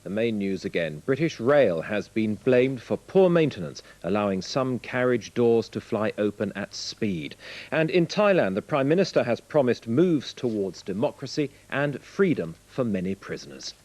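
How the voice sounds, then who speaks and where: A man presents scripted news headlines for television. His voice is medium in pitch. The intonation moves around in a lively way. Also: moderate pace. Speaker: television newsreader.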